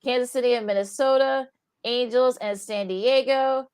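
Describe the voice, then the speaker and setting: A woman's voice: a little high, steady tone, moderate pace, a little mellow, smooth. Hesitant woman sports radio co-host. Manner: hesitant, many fillers, thinks aloud. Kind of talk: casual sports radio chat.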